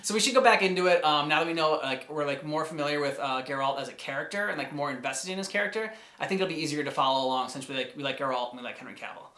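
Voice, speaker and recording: A man co-hosting a casual reaction show, chatting about game cutscenes in a halting, rambling way as he thinks aloud. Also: fairly high pitch, bright, forceful tone. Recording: echoey room.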